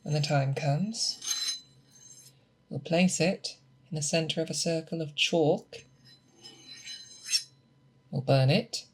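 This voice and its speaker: A seasoned monster hunter, acted out by an ASMR performer, talking slowly at a middling pitch. The hunter is a calm, patient mentor who gives instructions to an apprentice and often pauses to check they follow. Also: breathy edge. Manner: slow, hesitant, instructive, frequent check-ins. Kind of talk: ASMR roleplay, scripted.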